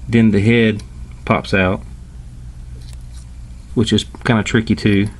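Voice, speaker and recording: A man giving a casual, informal hands-on toy review, explaining step by step with hesitant pauses. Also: fairly low pitch, little pitch movement. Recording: clearly noisy home recording.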